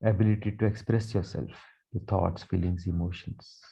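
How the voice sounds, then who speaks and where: Very dark, low-voiced man guiding meditation. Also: creaky, husky. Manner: slow, calm, soothing delivery with long pauses. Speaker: meditation guide, Reiki teacher. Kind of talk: guided meditation with affirmations. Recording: dull, muffled lo-fi sound.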